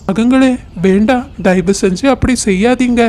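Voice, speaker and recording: Someone playing a gentle old magic tree begging, mid pitch, cartoon story. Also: moderate pace, close to an everyday voice. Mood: pleading. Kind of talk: children's cartoon story acting.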